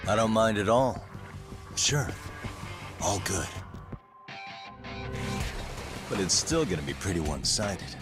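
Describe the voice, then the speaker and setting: A man's voice: fairly low pitch, sing-song, normal speed, music in the background. An actor playing a composed, wry male investigator in a video game, with a casual, dry, self-assured delivery. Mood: confident. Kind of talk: video game dramatic acting.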